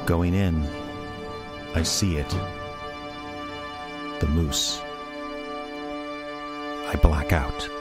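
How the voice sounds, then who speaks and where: Dazed office man telling his story in a radio play, really deep voice, deliberate little phrases, music under it. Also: steady tone, heavily acted. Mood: dazed, fixated. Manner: halting, deliberate short phrases. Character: dazed office man haunted by a moose, slow and hushed. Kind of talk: radio play first-person monologue.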